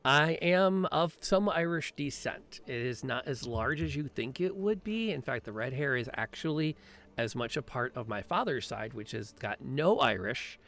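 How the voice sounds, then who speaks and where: A man's voice: mid-range voice, animated, moderate pace, fairly bright tone. A man hosting a podcast and live stream, chatting in a rambling, upbeat way with lots of fillers and restarts. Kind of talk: casual chat on a live stream.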